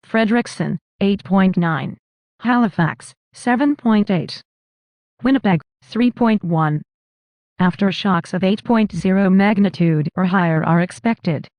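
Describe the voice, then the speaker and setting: A woman's voice: medium pitch, measured pace, a little mellow, no echo. A woman's synthetic text-to-speech voice reading scripted emergency broadcast announcements in a formal, impersonal, clipped manner.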